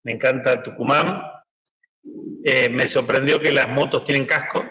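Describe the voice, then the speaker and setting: A man's voice: mid-range voice, fairly even intonation, moderate pace, sharp tone. A man making informal, anecdotal opening remarks as a guest speaker to an audience. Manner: chatty, anecdotal. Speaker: guest speaker at a book presentation. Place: indoor book presentation.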